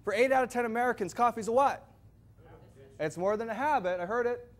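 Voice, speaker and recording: A man giving a loud, projecting sales presentation to a live audience, firing questions at the crowd. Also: extremely high pitch, fairly quick. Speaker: product presenter.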